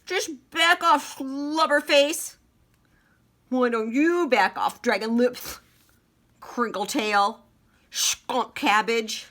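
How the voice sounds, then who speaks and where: A woman voicing a proud, excitable, squabbling male chameleon in a children's storybook, with exaggerated, drawn-out delivery. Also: a little high, voice pushed up. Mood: angry taunting.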